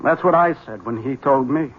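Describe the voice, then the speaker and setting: A man's voice: medium pitch, moderate pace, slight background noise, natural voice. An actor playing a haunted, desperate man who urgently warns a rival, in a 1970s radio drama. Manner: tense, urgent, bursts of agitation. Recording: dull 1970s radio broadcast.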